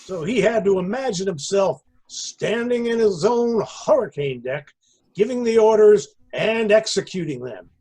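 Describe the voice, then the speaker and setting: A man narrates a story in a high, husky voice. His delivery is slow and theatrical, with a lively, deliberate rise and fall. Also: rough recording. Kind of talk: dramatic literary narration. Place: indoors on a video call.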